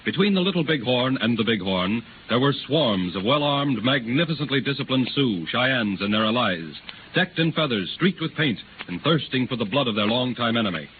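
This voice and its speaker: A man's voice: mid-range voice, lively intonation, moderate pace. Declamatory male narrator on tinny old radio. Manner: florid, declamatory. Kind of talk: dramatic scripted 1930s radio narration. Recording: noisy, tinny 1930s radio recording.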